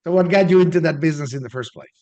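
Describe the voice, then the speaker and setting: A man's voice: a little high, very fast, darkish tone, dull audio. A man speaking as the host of a business interview, in a conversational way.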